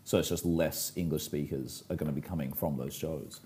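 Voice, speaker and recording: A very low-voiced man with a dark, relaxed tone speaks as a podcast co-host. He tells stories conversationally, choosing elaborate words and leaning on them for emphasis. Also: brisk. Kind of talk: casual conversational storytelling.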